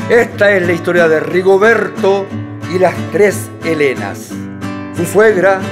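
A genial Chilean radio-play host, narrates with theatrical flair and very lively intonation. Guitar music plays clearly behind the voice. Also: male voice, high-pitched, unhurried. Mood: welcoming, theatrical.

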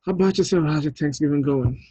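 A man's voice: on the high side, quick pace, dark tone, breathy edge. A man around his thirties chatting casually as host on a video call. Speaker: host, filmmaker running a table read. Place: small room indoors, on a video call. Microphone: earphones on a video call. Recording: dull, echoey video-call audio.

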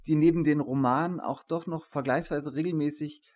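A man's voice: on the high side, steady tone, fairly quick, a little mellow. A man in his forties hosting a casual interview, asking questions into a desk microphone in a small room. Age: 40s. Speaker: interview host, professor. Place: small attic room, indoors. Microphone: shared desk microphone.

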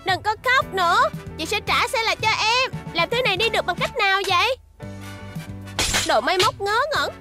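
A very high-voiced little robot girl, headstrong and bratty. She talks with pushy excitement in a cartoon dub, with music clearly playing behind her. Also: normal speed. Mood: bossy. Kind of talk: cartoon dubbing.

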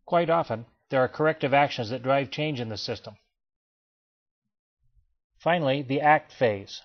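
A man narrates a training slideshow in a steady, explanatory manner, his voice sitting at an ordinary middle pitch. The recording sounds slightly dull and lo-fi, like a plain home voice-over. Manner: measured, even explanatory delivery. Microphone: voice-over, not recorded in pictured place.

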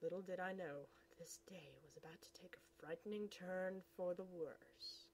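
A woman's voice: fairly low pitch, moderate pace, darkish tone, slight background noise. A young woman reading her own comic aloud, playfully switching voices between the characters. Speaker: comic reader.

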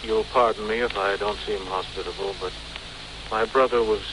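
A man's voice: fairly low pitch, steady tone, moderate pace, close to an everyday voice. An actor playing a plain-spoken man in a 1940s radio detective drama. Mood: subdued. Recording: noisy, hissy vintage radio recording.

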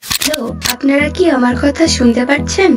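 A young Bangladeshi-accented woman with a high voice speaks in short, casual lines. Her manner is polite and pleading, like someone asking a favour. Music plays clearly behind her. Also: a little fast. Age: young adult. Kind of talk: short casual prank voice clips asking game players for money. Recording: background music under the voice.

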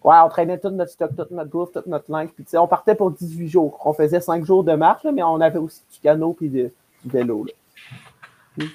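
A man's voice: on the high side, moderate pace, a little mellow, husky. A young man with a Québécois accent chatting casually on a podcast panel over a video call. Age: young adult. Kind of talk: casual chat. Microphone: headset or earbuds on a video call.

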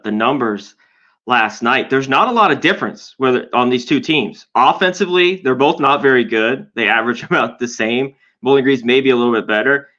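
A man with a crisp, forceful voice talks through a matchup from a home room. He speaks in halting phrases, and the desk mic sounds a little dull and lo-fi. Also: mid-range voice. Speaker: sports betting podcast co-host. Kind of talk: casual sports betting analysis.

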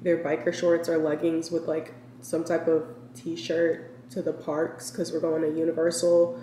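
A young woman in her twenties chatting casually to camera for her vlog, her voice a little raspy from a lingering cold, rambling with lots of fillers as she eats. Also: lowish voice, darkish tone, slight background noise. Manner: chatty, filler-heavy, talks while eating. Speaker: vlogger. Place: home kitchen and walk-in closet. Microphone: camera set on counter at arm's length. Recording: echoey room, somewhat far from mic.

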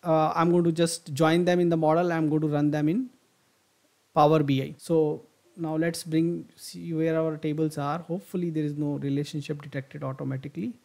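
A man gives a software tutorial over a screen recording. His voice sits slightly high and has a gravelly, creaky edge. He talks through each step as he goes, with many fillers and self-corrections. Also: little pitch movement, normal speed. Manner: thinks aloud with frequent fillers and restarts. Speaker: software instructor.

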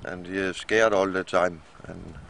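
A man's voice: low-pitched, fairly even intonation, vocal fry. A middle-aged man with a Danish accent, speaking hesitantly to a camera outdoors as a captive ship's officer. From Denmark. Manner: hesitant, halting. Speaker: captive ship's chief mate. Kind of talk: halting plea to camera. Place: outdoors in bushland. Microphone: camera a short distance away. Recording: very noisy windy outdoor camcorder.

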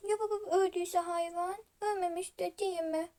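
Girl voicing a worried little kid, really high voice, whiny questions, toy roleplay. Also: steady tone, normal speed, dark tone. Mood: worried, tearful. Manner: whining, questioning. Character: anxious, whiny young child, sensitive about animals. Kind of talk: toy-world roleplay story voice-over.

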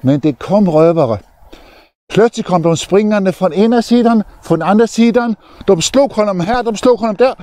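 Lively older man telling a story. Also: high-pitched, no echo. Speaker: deacon. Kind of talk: storytelling for children. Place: outdoors in an open field.

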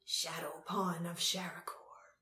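A woman's voice: lowish voice, steady tone, normal speed, fairly bright tone, reverberant. A woman voicing a drunken old male vizier in an audiobook, pompous and vindictive. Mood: weeping.

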